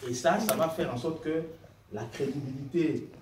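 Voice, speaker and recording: A man's voice: fairly high pitch, moderate pace. A man making an earnest, argumentative impromptu speech from the floor of a political meeting. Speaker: party member speaking from the floor. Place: meeting room, indoors. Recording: roomy, distant mic, noisy hall.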